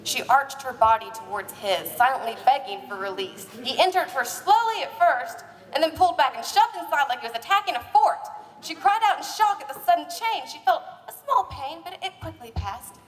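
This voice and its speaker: Female live narrator, high and playful, theatrical comic romance reading, picked up from a distance. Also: lively intonation, fairly quick. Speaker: narrator and presenter. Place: live stage at a bar party. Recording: echoey live hall, distant mic.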